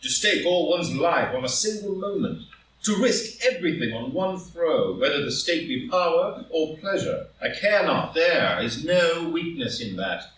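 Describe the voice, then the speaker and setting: A man acts out a proud gentleman of wealth and ambition in a live play reading. His fairly high voice moves animatedly through grand, impassioned phrases. He sounds set back from the microphone. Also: animated, a little slow, a little hiss or hum. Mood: indignant, defiant. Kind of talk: live group play reading, stage drama. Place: indoor room, live in-person group reading. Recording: roomy live recording, distant from mic.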